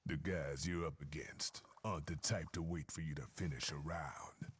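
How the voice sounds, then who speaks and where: Very deep, streetwise American bartender. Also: male voice, steady tone, a little hiss or hum. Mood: dry, annoyed. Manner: slangy, unhurried, knowing. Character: gruff streetwise bartender and weapon maker, male, cool and sardonic. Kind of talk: video game cutscene acting.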